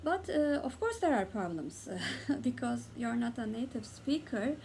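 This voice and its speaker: A young Turkish woman with a Turkish accent, talking casually as a book vlogger and pausing often with "uh" between words. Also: on the high side, big pitch swings, gravelly, a little mellow, slight background noise. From Turkey. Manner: hesitant, many "uh" pauses between words. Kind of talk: casual tag video talk.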